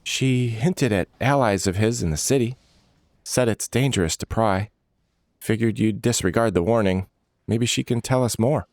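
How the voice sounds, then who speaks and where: A man playing a steady, serious male leader of an adventuring band in a fantasy story. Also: normal speed, dry recording, clean studio sound, close to an everyday voice. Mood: serious, guarded. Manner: low-key, steady, serious.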